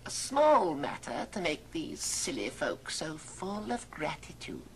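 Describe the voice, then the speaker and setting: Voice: medium pitch, very animated, unhurried, fairly bright tone, rough recording. An actor playing a solemn, relentless ghost of Christmas past in an old radio drama. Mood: solemn probing. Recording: old record with faint noise.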